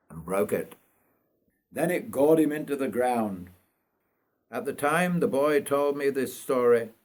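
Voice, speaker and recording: An older man from North Yorkshire reads his own memoir aloud in a measured, reflective storytelling manner. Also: medium pitch. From North Yorkshire, England. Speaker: writer reading own memoir. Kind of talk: scripted autobiographical storytelling.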